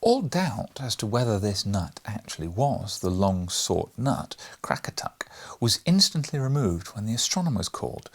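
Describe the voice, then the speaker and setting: A man reading a storybook in scripted narration, expressive and theatrical, with a husky, gravelly voice. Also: fairly low pitch. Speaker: audiobook reader.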